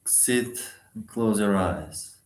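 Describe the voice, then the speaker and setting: A man leading a guided meditation, giving instructions in short, sparse phrases with long pauses between them. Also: fairly low pitch, roomy sound, poor audio.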